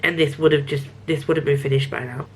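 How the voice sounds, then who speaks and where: A woman's voice: low voice, fairly even intonation, fast, husky. A young woman rambling casually about a book she's reading, a bit sniffly, often restarting her sentences. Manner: chatty, self-interrupting, frequent restarts. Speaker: book vlogger. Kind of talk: rambling casual vlog chat.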